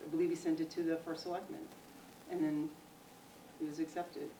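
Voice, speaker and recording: A woman with a very low, flat voice answers a resident in measured, formal terms. She is recorded from a distance in an echoey room with clear background noise. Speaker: commission member. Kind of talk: formal reply at a public meeting. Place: town meeting room.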